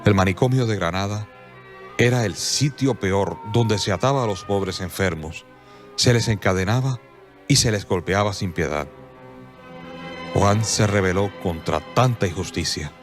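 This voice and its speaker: Male narrator, low voice, measured and solemn, faint music. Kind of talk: scripted radio drama narration.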